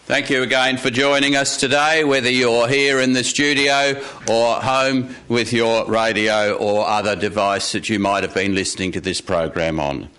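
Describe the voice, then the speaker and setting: A man hosting a live event, giving informal announcements in a halting, improvised way. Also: medium pitch, steady tone, bright, forceful tone, a little hiss or hum. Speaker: festival event host. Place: radio studio before a live audience.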